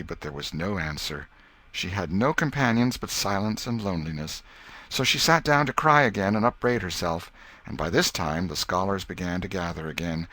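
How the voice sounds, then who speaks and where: A man reading a literary story as an audiobook narrator, in a measured storyteller manner. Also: low-pitched, fairly bright tone, dry recording.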